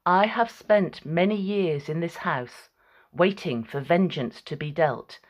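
A vengeful, winged female Fury voiced by a woman reading a story. She speaks slowly and intently, savouring her long wait for vengeance in a mythic storybook tale. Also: lowish voice, dull audio. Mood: brooding.

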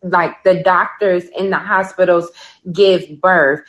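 A woman from Chicago co-hosting a podcast, telling a story in an earnest, animated way with casual fillers. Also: on the low side, slow. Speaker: podcast co-host. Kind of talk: casual chat.

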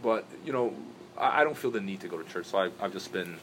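A man raised in Brazil talks quickly in a very deep voice, circling his points with restarts and fillers as an interview guest. There is clear background noise throughout the recording. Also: lively intonation, fairly bright tone, rough recording. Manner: rambling, many restarts and "you know". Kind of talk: casual interview talk.

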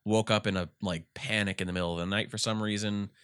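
A deep-voiced man talks games in a rambling, animated way, his nose stuffed up. His tone is bright and crisp. The recording is dry and close. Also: quick pace, no echo. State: congested. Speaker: podcast co-host. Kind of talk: casual gaming chat.

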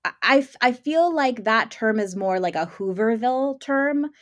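A woman's voice: fairly high pitch, animated, normal speed. Irreverent joking young woman guest. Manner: joking, irreverent, blurts things out. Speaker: podcast co-host, guest. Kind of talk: casual chat. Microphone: video call.

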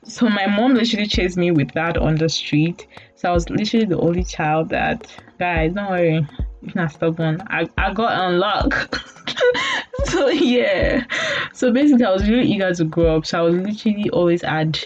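A Nigerian woman in her late twenties talks casually as a podcast host, rambling and often laughing at herself. Her voice sits a little low for a woman. The recording is dull and lo-fi, with soft music underneath. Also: normal speed. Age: late 20s. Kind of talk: casual storytelling monologue. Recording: dull lo-fi audio with faint music.

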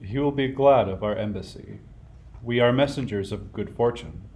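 A man's voice: lowish voice, normal speed, a little mellow, lightly acted. An actor playing a solemn, courtly magus king in a radio play, formal and reverent. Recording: faint background noise.

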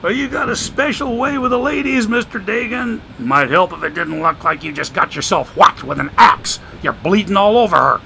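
A man voicing a folksy, wisecracking homeless man who drawls with rough grammar and dry sarcasm. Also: high-pitched, expressive, moderate pace, hardly any pauses, sharp tone, slight background noise, voice pushed up, full character voice, low-quality recording. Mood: wry.

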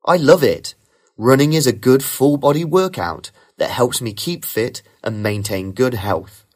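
A man reading rehearsed model answers for a speaking test in a smooth, even way. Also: mid-range voice, a little slow. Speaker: sample test candidate.

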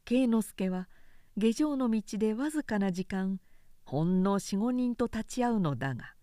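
A woman reading a literary period story aloud as narrator, in a measured, expressive storytelling manner. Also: mid-range voice, no room sound, clean studio sound. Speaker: narrator, reciter.

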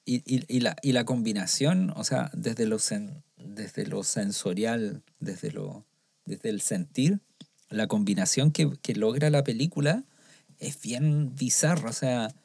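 Gravelly husky man. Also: mid-range voice, slow pace, a little mellow, dry recording. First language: French. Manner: hesitant, repeats words, jokes. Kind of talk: casual chat about film.

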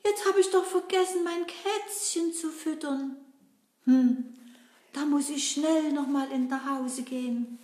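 A woman voicing a cheerful, sweet young woman in a puppet show, speaking in a high, sing-song voice to the children. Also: a little mellow. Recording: roomy echo.

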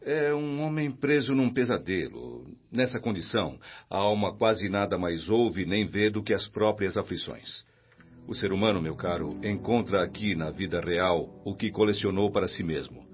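A man playing a caring, authoritative hospital supervisor in a radio drama, measured and instructive, with a Brazilian accent. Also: deep voice, expressive, slightly rough. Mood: grave, instructive. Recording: dull radio-drama sound, music behind.

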